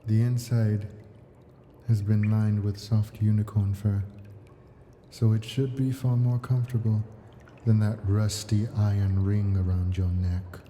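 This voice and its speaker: A man playing an ancient male dragon in an ASMR roleplay, a possessive, doting captor speaking in a coaxing manner with soft whispers. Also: fairly low pitch, level tone, a little slow, a little hiss or hum, lightly acted. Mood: proud, coaxing.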